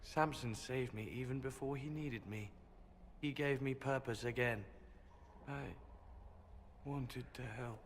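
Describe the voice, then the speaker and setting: Actor as a serene, detached man in deep reverb. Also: takes it slowly, pauses often, close to an everyday voice. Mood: calm, detached. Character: emotionless, serene dying male servant, quietly loyal. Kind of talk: dramatic video game acting. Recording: long hall reverb, faint noise.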